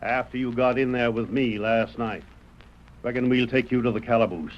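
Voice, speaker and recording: An actor playing a shrewd, gruff frontier sheriff in an old radio western, blunt and folksy with a rural Western American accent. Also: male voice, medium pitch, steady tone, normal speed, lightly acted. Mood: accusing. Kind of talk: 1940s radio western acting. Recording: muffled, noisy vintage radio recording.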